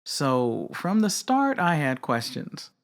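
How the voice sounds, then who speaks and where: A woman hosting a craft podcast, giving measured, candid and opinionated commentary on her own. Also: deep voice, sing-song. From not British. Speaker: podcast host. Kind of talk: reflective solo commentary.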